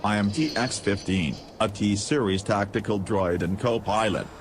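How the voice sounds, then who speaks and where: A man voicing a blunt, literal-minded tactical droid in an audio drama, deadpan and formal. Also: on the low side, normal speed, background noise, lightly acted. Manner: deadpan, formal, calculating phrasing.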